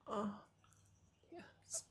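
A woman whispering an intimate roleplay monologue close to the mic, breathless and pleading, with soft moans between words. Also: drawn-out words. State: aroused and breathless. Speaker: audio roleplay performer.

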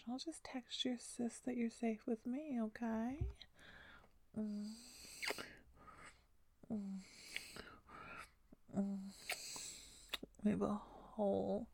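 A young woman performing an intimate audio roleplay in a soft, breathy whisper close to the mic. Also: mid-range voice, no echo.

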